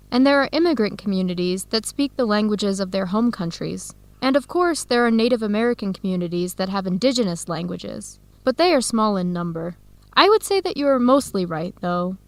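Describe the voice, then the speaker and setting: A woman's voice: medium pitch, no echo, close to an everyday voice. An actress playing a firm, principled young woman with a direct manner in an educational radio drama. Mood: explaining. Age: young adult.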